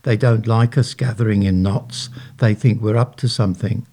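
A man's voice: lowish voice, steady tone, moderate pace, dark tone, natural voice. A man voicing a youngish female passenger who speaks cautiously.

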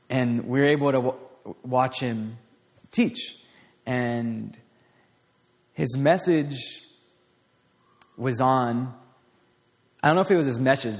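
Man preaching casually in a reverberant hall. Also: medium pitch. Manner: conversational, rambling with restarts and fillers. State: voice getting raspy. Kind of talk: informal sermon.

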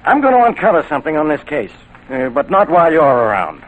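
An irritable American police inspector in an old radio serial. His fairly high voice blusters and lurches up and down in gruff exasperation. The sound has the light noise of a vintage broadcast. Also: wildly swooping pitch, fast, phone-quality sound. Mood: exasperated. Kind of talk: 1940s radio detective drama acting.

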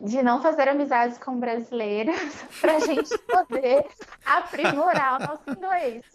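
A Brazilian woman with a Brazilian Portuguese accent, a guest on an interview podcast, telling her story in a chatty way with frequent fillers. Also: high voice. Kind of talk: casual interview chat. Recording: muffled lo-fi audio.

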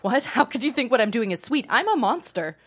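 A woman's voice: a little high, sing-song, fairly quick, fairly bright tone, slightly rough, heavily acted. A woman playing an anxious, guilty, wisecracking young woman at a comedy table read, blurting and sarcastic. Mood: flustered, nervous.

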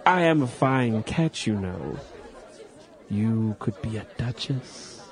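An actor playing a smug, predatory aristocratic English suitor in a radio drama, with an oily, insinuating manner. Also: male voice, fairly low pitch, animated, slow pace, gravelly, some chatter behind, exaggerated voice. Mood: menacing, pressing.

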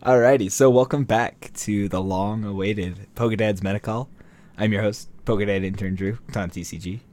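A man hosting a casual card game podcast, chatty and relaxed, with fillers and restarts. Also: on the low side, moderate pace, a little hiss or hum. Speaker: podcast host.

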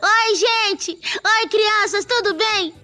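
A woman from Pará in Brazil, explaining a topic to children on a radio program in a lively, friendly way. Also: extremely high pitch, very slow, soft background music. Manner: lively, speaking warmly to children. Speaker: radio guest presenter.

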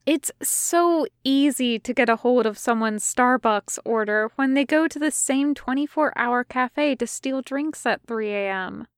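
A woman's voice: high voice, measured pace, few pauses, clean tone, dry recording, studio quality. A woman voicing a breezy female covert agent and kidnapper in an audio story, sardonic, condescending and sweetly chatty. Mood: mock sweet.

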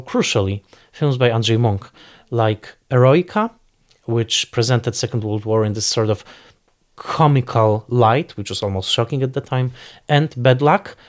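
A man speaking as a film expert in an interview, searching for words and repeating for emphasis. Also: mid-range voice.

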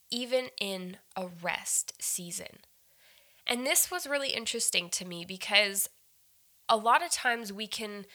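A woman's voice: lowish voice, pushed and bright. A woman hosting a faith-based podcast, upbeat and earnest, talking to listeners like friends, a bit nasal and stuffed up from a head cold. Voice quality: slightly nasal. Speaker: podcast host.

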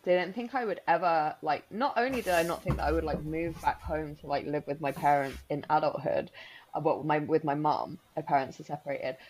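A woman's voice: low-pitched, a little fast, a little mellow, a little hiss or hum, low-quality recording. A woman in her thirties who grew up in Spain, co-hosting a podcast in casual, rambling chat full of likes and restarts. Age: 30s. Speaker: podcast co-host.

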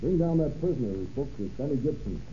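An actor playing a brisk, wry, unyielding chief of detectives in a 1930s radio drama. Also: male voice, mid-range voice, lively intonation, mellow, close to an everyday voice. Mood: brisk, pleased. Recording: muffled, noisy old radio recording with hum.

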